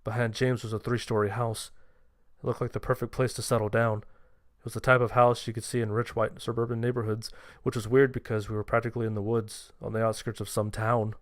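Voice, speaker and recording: A man's voice: lowish voice, flat delivery, a little fast, no room sound, studio quality. A man reading a scripted first-person horror story as its narrator.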